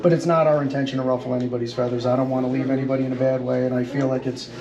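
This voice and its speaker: A man's voice: mid-range voice, flat delivery, rapid-fire, lo-fi sound, a crowd in the background. A middle-aged man from Long Island, New York, chatting casually as a talkative, polite and emphatic vlog host. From Long Island, New York, USA. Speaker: host of civil-rights audit vlog. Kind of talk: casual chat with people he meets. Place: post office and its outdoor car park. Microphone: camera rig held at chest or face. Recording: clear outdoor and background noise.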